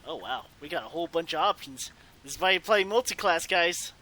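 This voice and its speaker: A man's voice: a little high, animated, a little fast, pushed and bright, slight background noise. A man giving casual let's play commentary on a video game, with some light roleplay.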